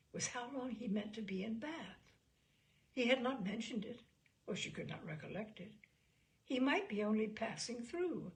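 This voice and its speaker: A woman reading a classic novel aloud as an audiobook, in a measured, expressive storytelling manner with a creaky voice. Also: fairly high pitch, rough recording. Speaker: audiobook reader. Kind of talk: literary narration of a classic novel, voicing dialogue.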